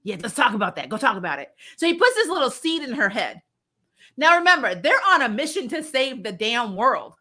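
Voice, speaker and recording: A woman giving a casual, opinionated review in an animated, sweary way, acting out bits of mock dialogue. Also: fairly high pitch, fairly quick. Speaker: host of a TV series review show.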